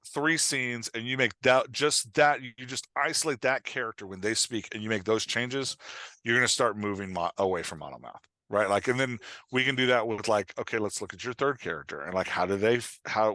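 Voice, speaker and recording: A man talking as a coach and podcast guest, explaining things in an informal, animated way with acted-out example remarks. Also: medium pitch, no echo. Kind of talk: casual explanatory podcast interview.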